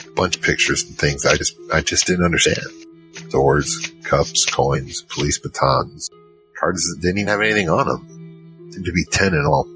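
A man playing a naive young man recounting his adventures in an informal, halting way in an audio drama. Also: very deep voice, low-quality recording. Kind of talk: audio drama first-person narration.

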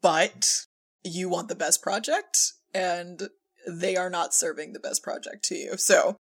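A middle-aged woman speaks in a low voice with plenty of fry and a husky edge. She tells stories casually as an interview guest, acting out bits of remembered conversation as she goes. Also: measured pace. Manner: animated storyteller, acts out quoted remarks. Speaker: podcast guest, audio drama director.

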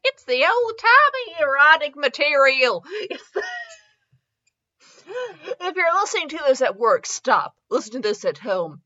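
A woman's voice: high-pitched, very animated, measured pace, pushed and bright, dull audio. A woman hosting a comedy fanfiction reading, giggly and mock-dramatic, breaking off into casual asides. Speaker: podcast host reading fanfiction.

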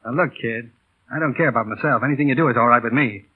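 An actor playing a tough, brusque boss of a small airline in 1940s radio drama, clipped, commanding and slangy. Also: male voice, mid-range voice, quick pace, breathy edge, close to an everyday voice. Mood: firm, insistent. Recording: tinny, band-limited vintage radio broadcast.

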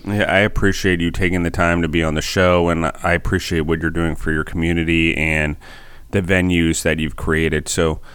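A man hosts a food podcast with a deep, smooth voice that stays level and even. He reads his intro steadily and asks questions in a plain, friendly way, recorded close and dry. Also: normal speed, no echo. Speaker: podcast host. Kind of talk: podcast interviewing.

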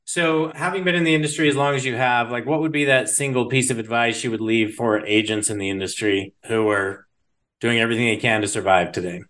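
A man's voice: mid-range voice, steady tone, brisk, fairly bright tone. Conversational man hosting a business podcast. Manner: conversational, thinks aloud with restarts. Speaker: podcast host. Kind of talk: casual business interview.

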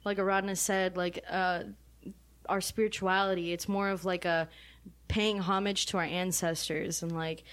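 A woman's voice: lowish voice, little pitch movement, normal speed, fairly bright tone. A woman being interviewed about her band, chatting animatedly and rambling with lots of fillers and frequent laughter. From Mexican American. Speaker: band member, guest. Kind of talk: casual interview chat.

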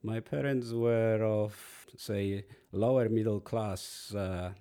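A man in his sixties from Poland with a Polish accent, a podcast guest slowly and thoughtfully recalling his past. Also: on the low side, steady tone, dark tone, clean tone. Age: 60s. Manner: measured, thoughtful, pauses and restarts. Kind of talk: slow reflective storytelling.

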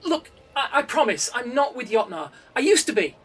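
A man voicing a panicky male coder who pleads loudly in a quavering voice, recorded a bit distant from the mic. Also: extremely high pitch, very animated, normal speed, husky, pitched above the performer's own voice, heavily acted. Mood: pleading.

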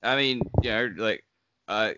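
Australian man, low voice with a bright, forceful edge, jokey podcast co-host, dry and dull sound. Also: a little slow, slight background noise. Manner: chatty, jokey, emphatic. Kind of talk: casual chat. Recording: dull, muffled podcast audio.

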